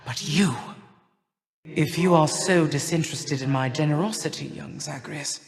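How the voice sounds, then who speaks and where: An imperious elder goddess of winter, rings out in a long reverb. The delivery is stately and cold, low for a goddess yet never hurried, with formal, old-fashioned phrasing and a haughty, grudging temper suited to a mythic video game. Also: female voice, gravelly. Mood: cold, threatening. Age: older. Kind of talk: video game character voice lines.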